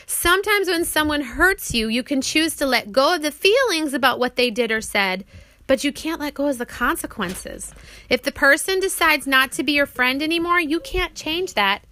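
A woman's voice: high-pitched, lively intonation, moderate pace, sharp tone, no echo. A woman reading an audiobook as its author, explaining things simply, as if to young children.

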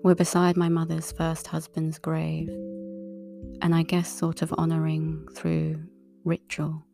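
A woman with a low, gravelly, relaxing voice, talking in an unhurried, half-whispered monologue. Her pitch barely moves. Guitar music plays clearly underneath. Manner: slow, calm, breathy half-whispered delivery. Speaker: tarot reader and writer. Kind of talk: soft-spoken monologue. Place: outdoors in a wooded area.

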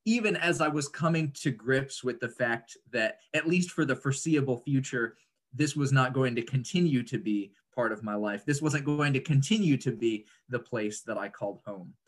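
A man, talking reflectively as an interview guest, articulate and often pausing mid-sentence to pick his words. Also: medium pitch. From Indonesia, grew up there as an American third culture kid. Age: 30s. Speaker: interview guest, writer and speaker.

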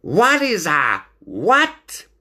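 A man voicing an excitable, cheeky storybook stoat who talks eagerly and repeats himself. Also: sits high, slow, voice pushed up, exaggerated voice. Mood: excited, eager. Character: excitable, cheeky male stoat, quick-talking schemer.

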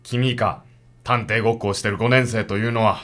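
A man's voice: on the low side, fairly even intonation, moderate pace, fairly bright tone, close to an everyday voice. An actor playing a stern, stuffy businessman father, speaking stiffly and disapprovingly in a radio drama. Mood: stern, irritated.

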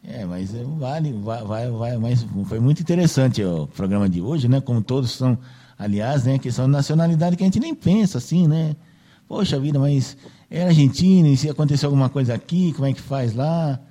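A man's voice: medium pitch, fairly quick, warm tone. A man hosting a casual radio chat, tagging nearly every phrase with "né" to seek agreement. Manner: chatty, filler-heavy, seeks agreement with "né". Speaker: radio host.

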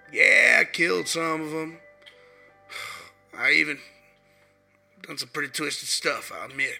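A hoarse man voicing a rough Southern outlaw cowboy, drawling through a rambling diary confession. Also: on the high side, slow, pauses often, sharp tone, soft background music, exaggerated voice. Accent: put-on American Southern.

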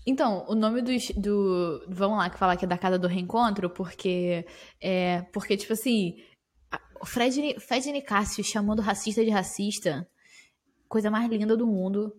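Young Brazilian woman co-host, teasing, slangy, quick to laugh. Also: mid-range voice. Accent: Brazilian Portuguese. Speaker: podcast co-host. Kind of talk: casual chat.

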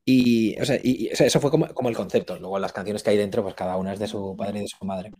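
A young man chatting casually as an interview guest, speaking colloquially and haltingly as he thinks aloud. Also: fairly low pitch, darkish tone, dry recording. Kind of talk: casual chat.

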